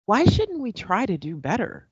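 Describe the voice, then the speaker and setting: A woman's voice: medium pitch, wildly swooping pitch, normal speed, slightly rough, dry recording, muffled recording, heavily acted. A woman playing a polite, professional current-affairs host in an audio play. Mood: probing, measured. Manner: courteous, professional.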